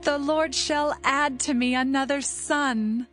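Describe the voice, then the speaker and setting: A woman performs a yearning, determined young wife of scripture. The voice sits fairly high and moves slowly and fervently, with clear music behind this audio Bible drama. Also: steady tone, a bit bright. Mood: fervent, triumphant. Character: Rachel, yearning, determined biblical wife longing for children.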